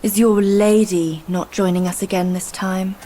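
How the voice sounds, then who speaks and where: An actress voicing a haughty, vengeful young spider demoness in a video game, poised and taunting. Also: female voice, mid-range voice, steady tone, normal speed, dark tone, lightly acted, low-quality recording. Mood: mock courtesy. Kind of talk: video game cutscene acting.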